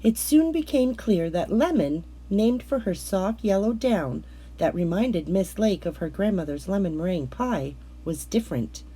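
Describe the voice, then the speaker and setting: Husky, mellow woman reading a storybook. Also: mid-range voice, expressive, moderate pace. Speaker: children's storybook reader. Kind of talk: scripted read-aloud narration of a picture book.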